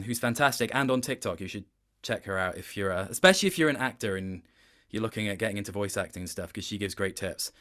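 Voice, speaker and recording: A man's voice: on the low side, a bit bright. A man talking as a voice actor guest in a casual interview, telling anecdotes in a hesitant, rambling, appreciative way.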